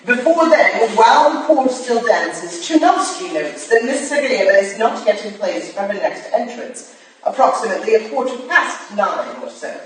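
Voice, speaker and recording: A woman's voice: on the high side, expressive, moderate pace, natural voice. A woman playing a commanding, analytical Victorian lady detective in a staged play reading, recorded in an echoey hall. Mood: narrating deductions. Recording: echoey hall from far off, faint noise.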